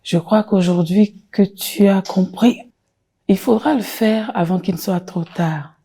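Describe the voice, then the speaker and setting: A woman in her forties, a jury member giving gentle, reflective feedback to a contestant. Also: mid-range voice, unhurried, husky. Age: 40s.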